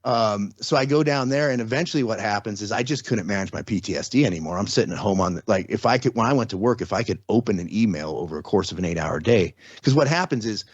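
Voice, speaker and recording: A middle-aged man with a low voice tells his story in loose, rambling talk, restarting sentences and tossing in "right?" often. The sound is dry and a bit dull, like a lo-fi recording. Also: lively intonation, quick pace, few pauses, breathy edge, no echo. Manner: rambling, many restarts and tag questions. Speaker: guest. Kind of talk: casual interview, storytelling.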